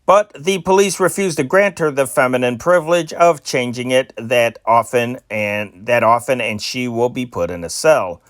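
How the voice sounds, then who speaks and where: A man's voice: mid-range voice, normal speed, hardly any pauses, fairly bright tone, dry recording, studio quality. A man reading old newspaper stories aloud as an amateur news reader, stumbling and correcting himself now and then.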